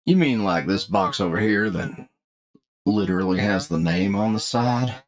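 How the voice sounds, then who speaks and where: A man's voice: fairly low pitch, lively intonation, normal speed, a crowd in the background, lightly acted. A man voicing a wry, laid-back crewmate in a sci-fi audio roleplay, with a drawling, colloquial manner. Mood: wry, amused. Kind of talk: anime-style audio roleplay acting.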